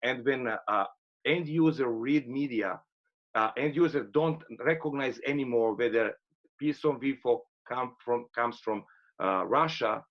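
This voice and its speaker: A man's voice: medium pitch, unhurried. Hesitant man moderating a video call. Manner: hesitant, many fillers. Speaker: workshop moderator. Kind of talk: academic panel discussion. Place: home room, indoors. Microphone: laptop webcam video call. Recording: slightly dull video call audio.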